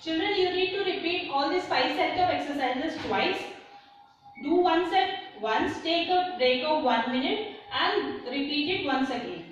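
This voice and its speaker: A young woman teaching a children's fitness class, speaking in slow, simple phrases and counting out exercises. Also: sits high, over music. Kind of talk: instructional class, counting reps. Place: large bare room indoors. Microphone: far camera. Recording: echoey bare room from far off.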